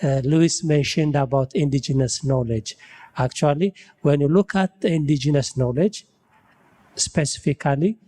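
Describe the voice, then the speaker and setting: A man's voice: on the high side, moderate pace, mellow, in a large hall. A man from Ethiopia speaking on a panel about buildings and climate, thinking aloud and tagging "you know" onto his sentences. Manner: frequent "you know" tags, searching for words. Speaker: building science researcher. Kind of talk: panel discussion.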